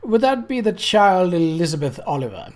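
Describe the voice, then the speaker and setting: A narrator voicing a blunt, judicious male coroner in an audiobook, with formal, measured questioning. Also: mid-range voice, big pitch swings, a little mellow, pitched above the performer's own voice, natural voice. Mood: judicious, musing. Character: gruff, blunt coroner, judicious older man.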